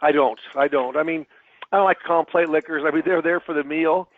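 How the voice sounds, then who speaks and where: A man who works as a financial advisor, speaking as a webinar guest, answering questions with a halting delivery full of repeated words. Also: on the high side, fairly even intonation. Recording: tinny phone line.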